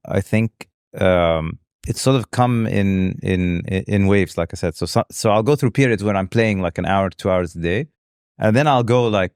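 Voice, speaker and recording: A man's voice: low voice, darkish tone, dry recording, clean studio sound. A man whose native language is Arabic, chatting casually and reflectively as a modest podcast guest. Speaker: podcast guest, venture capitalist. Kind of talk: casual conversational interview.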